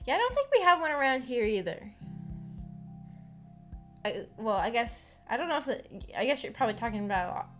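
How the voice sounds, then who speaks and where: An American woman streamer chatting casually in a rambling, halting, giggly way. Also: fairly high pitch, big pitch swings. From United States. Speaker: streamer, visual novel book club host. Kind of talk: casual livestream chat. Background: background music.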